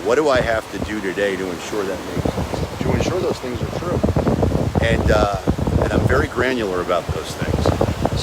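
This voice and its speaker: Man, forties, a bit low-pitched, casual motivational phone vlog at the beach, heavy wind and wave noise. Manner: earnest, rambling with fillers. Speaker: real estate agent vlogger. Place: beach at sunrise, outdoors. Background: waves and wind.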